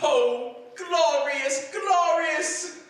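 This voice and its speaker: A man acting out a remorseful old miser in a radio play. His high voice echoes in a big hall, heard from a distance. He pleads and exclaims with stagey force. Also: drawn-out words, fairly bright tone, heavily acted. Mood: joyful. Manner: pleading and theatrical. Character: old male miser, remorseful then overjoyed. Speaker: Scrooge. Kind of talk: 1940s style radio drama acting. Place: theater stage.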